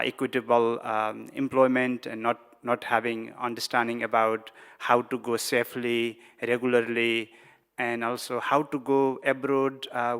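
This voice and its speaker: A man from Nepal speaking English with a Nepali accent as a panelist, halting, with many restarts and fillers. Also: mid-range voice, fairly bright tone, clean tone. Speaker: panelist, migrant worker advocate. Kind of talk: panel discussion. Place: large conference hall. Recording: reverberant conference hall.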